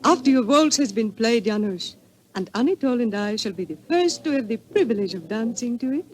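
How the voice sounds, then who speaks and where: A woman's voice: a little high, animated, a little fast, natural voice, rough recording. Woman playing a gracious young princess. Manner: formal, gracious. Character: gracious, poised young Viennese princess hostess. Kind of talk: 1940s radio drama acting.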